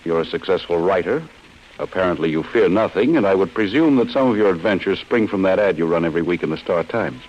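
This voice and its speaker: An actor with an American accent playing a cold, relentless chief insurance investigator in an old-time radio drama, speaking in a stern, deliberate way. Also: male voice, deep voice, animated, darkish tone. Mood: cold, commanding. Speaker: actor in a radio detective serial. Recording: muffled, hissy old radio broadcast.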